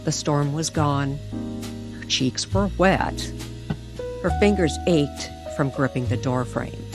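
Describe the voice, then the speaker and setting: A woman reading a tender, eerie story as a dramatic narrator, deliberate and pausing between short phrases. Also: sits low. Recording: music under the voice.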